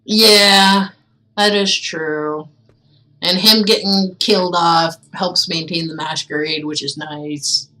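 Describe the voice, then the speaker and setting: A woman's voice: on the low side, fairly even intonation, slow, sharp tone. A woman streaming a game with casual, teasing, irreverent commentary, chatting as she plays.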